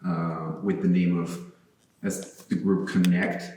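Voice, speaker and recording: A man's voice: deep voice, little pitch movement, slow pace, darkish tone, a little hiss or hum. A man from Chile chatting casually and hesitantly, with lots of fillers, in a large empty church sanctuary. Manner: hesitant, many fillers and restarts. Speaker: guest artist. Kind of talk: casual conversation. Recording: echoey large room.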